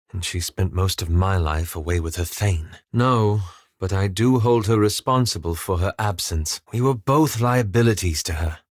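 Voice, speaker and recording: An actor playing a reserved, scholarly male fantasy adventurer in a video game, speaking formally and articulately with measured, wry understatement. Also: low voice, no room sound, studio quality. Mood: reflective, sober. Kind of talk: scripted video game character dialogue.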